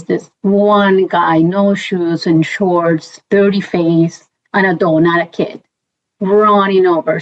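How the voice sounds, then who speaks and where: Colombian woman, lowish voice, lo-fi sound. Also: a little mellow. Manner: chatty, many fillers and restarts. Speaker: guest, compliance professional. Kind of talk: casual interview. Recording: dull lo-fi sound.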